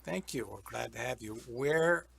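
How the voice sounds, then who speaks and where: Gravelly-voiced guy host, rambling friendly questions, mid pitch. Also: normal speed, fairly bright tone. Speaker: interview host. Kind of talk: hosting an interview.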